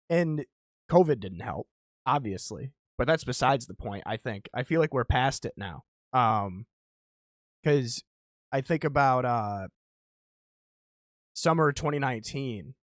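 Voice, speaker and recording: A man's voice: medium pitch, no room sound, studio quality. Candid rambling man in his thirties. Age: 30s. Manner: rambling, candid, self-deprecating. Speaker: podcast host. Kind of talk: casual podcast chat.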